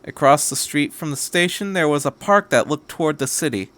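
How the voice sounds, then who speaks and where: A man reading a story aloud as a podcast narrator, in a measured, scripted storytelling style. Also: fairly high pitch, expressive, fairly bright tone.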